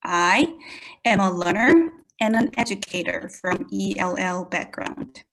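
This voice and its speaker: A woman from South Korea with a Korean accent presents a prepared webinar talk as an English language educator, speaking deliberately and addressing her audience directly. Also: medium pitch, lively intonation, gravelly, a bit bright, low-quality recording.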